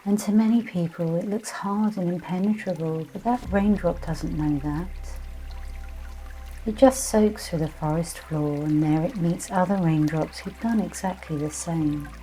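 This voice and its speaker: Soothing, mellow woman guiding meditation. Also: fairly low pitch, low-quality recording. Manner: gentle, soothing, unhurried hypnotic delivery with many pauses. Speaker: meditation guide. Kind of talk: guided meditation and visualization narration. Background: soft music with trickling, dripping water.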